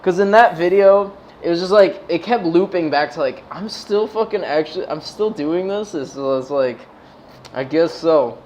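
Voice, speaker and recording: Higher-voiced American man, slangy livestream host. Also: normal speed, darkish tone, a little hiss or hum. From United States. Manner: slangy, swears freely. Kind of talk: casual livestream chat.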